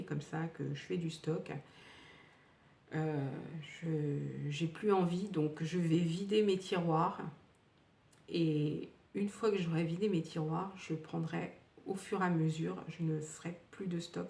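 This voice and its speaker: A woman in her fifties chats at length about used-up beauty products, trailing off into fillers and restarting her sentences. Her voice sits low for a woman. She is recorded from a distance in a room with a noticeable echo. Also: vocal fry, darkish tone. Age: 50s. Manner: chatty, rambling, many fillers and restarts. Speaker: beauty vlogger. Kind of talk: casual product review. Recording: echoey room, distant from the mic.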